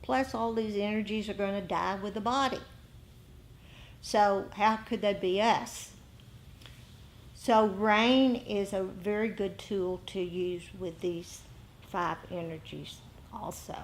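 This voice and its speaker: Slow-paced woman teaching meditation. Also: medium pitch, steady tone, slight background noise. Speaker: meditation teacher giving a dharma talk. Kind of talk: informal spoken dharma lesson to a group. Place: indoor group meeting.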